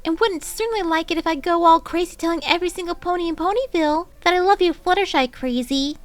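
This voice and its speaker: A woman voicing an excitable young female cartoon pony, dramatic and gushing. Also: very high voice, fast, faint music behind, pitched above the performer's own voice. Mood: earnest.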